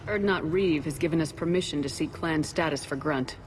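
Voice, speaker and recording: A woman's voice: low-pitched, a little fast, background noise, close to an everyday voice. Woman playing a direct, composed military commander. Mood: businesslike. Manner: direct, businesslike. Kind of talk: video game cutscene acting.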